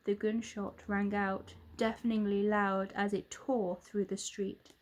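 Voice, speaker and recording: A woman narrating a children's storybook in a dramatic, expressive way. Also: mid-range voice, a little slow, slight background noise. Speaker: storybook reader, teacher.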